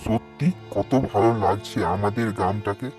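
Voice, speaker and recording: A man voicing a gentle, good-natured adult villager in conversational cartoon dialogue. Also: fairly low pitch, fairly even intonation, moderate pace, soft background music, natural voice. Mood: gentle, fond.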